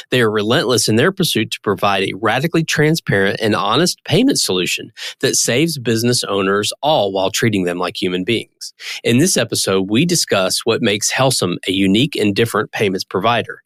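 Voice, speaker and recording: A man's voice: on the low side, a bit bright, no room sound, studio quality. A man hosting an interview podcast, reading a polished intro and then asking his guests questions in an upbeat way. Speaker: podcast host.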